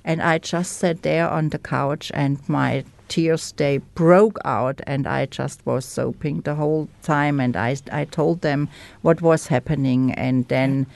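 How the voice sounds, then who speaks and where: A woman with a German accent, a native German speaker, candidly sharing her recovery story as a guest in an interview, pausing to search for words. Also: low voice, fairly even intonation, vocal fry, a little mellow, no room sound. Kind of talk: personal testimony in an interview.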